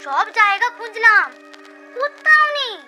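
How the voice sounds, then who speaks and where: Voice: extremely high pitch, big pitch swings, unhurried, exaggerated voice. A performer voicing an anxious young animal in a cartoon, worried and pleading. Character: anxious small animal, young nephew of a tiger. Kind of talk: cartoon voice acting. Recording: loud music behind the voice.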